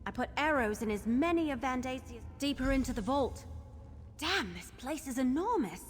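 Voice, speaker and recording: An actress voicing an eager, adventurous young wood elf archer in a video game, bold and excitable. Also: female voice, sits high, moderate pace, in a large hall, slight background noise. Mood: urgent.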